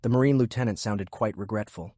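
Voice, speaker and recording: A man's voice: lowish voice, fairly quick, mellow, dry recording, studio quality. A man reading scripted narration of military fantasy fiction as an audiobook narrator.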